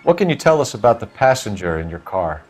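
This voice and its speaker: 1940s American detective for a crime game: lowish voice, courteous, firm, measured, faint music underneath. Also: male voice, big pitch swings, slightly rough. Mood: formal, probing. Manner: formal, measured, insistent questioning. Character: earnest, polite but firm male police detective in 1940s Los Angeles. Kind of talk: video game drama dialogue.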